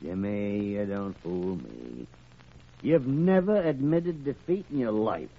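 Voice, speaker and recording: An actor playing a gruff, stubborn old senior doctor in a 1940s radio drama, blunt and grumbling, with a raspy voice. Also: male voice, on the low side, expressive, slow pace, exaggerated voice. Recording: tinny, narrow old radio recording.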